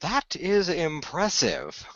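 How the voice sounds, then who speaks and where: Radio play hero, man acting composed leader with a sword, formal and measured, forceful bright tone on a dull dry recording. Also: a little high, huge pitch swings, no room sound, heavily acted. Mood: approving. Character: composed sword-wielding hero, commanding and encouraging young allies.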